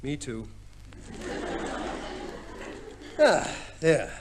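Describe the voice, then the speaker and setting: An actor playing a teasing, mock-courteous returned husband in a 1950s radio play, with an upper-class British accent and a breezy, theatrical manner. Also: male voice, on the high side, very animated, slow. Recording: noisy old radio recording.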